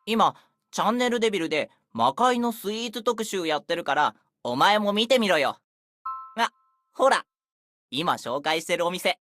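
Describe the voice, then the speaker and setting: A woman's voice: fairly low pitch, expressive, fast talker, a bit bright. A woman voicing an eager, friendly boyish young companion in a game, chatty and enthusiastic. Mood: excited, friendly. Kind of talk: scripted Japanese game character acting.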